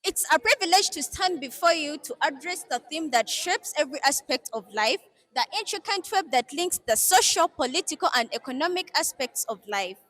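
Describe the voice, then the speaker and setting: Bright young woman orator. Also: sits high, expressive, normal speed, few pauses. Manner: soft-spoken in answers, formal oratory in speech. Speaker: head girl, student speaker.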